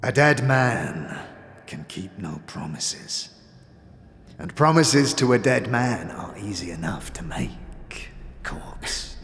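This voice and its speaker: A withered old collector lord from a fantasy audio drama, cajoling and bitter. He drags his words out very slowly in a gravelly, creaking voice that swings widely in pitch, with reverb around it. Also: very animated, fairly bright tone, slight background noise, exaggerated voice. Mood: mocking, wary. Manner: theatrical, halting, drawn-out phrasing with muttered asides. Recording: roomy reverb, music behind.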